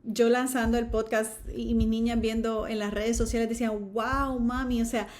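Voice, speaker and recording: A woman with a slightly high voice hosts an interview podcast. She talks conversationally, affirming and summing up what her guest shares. Her phrasing halts and doubles back on small words. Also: flat delivery, normal speed, a little mellow. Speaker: podcast host.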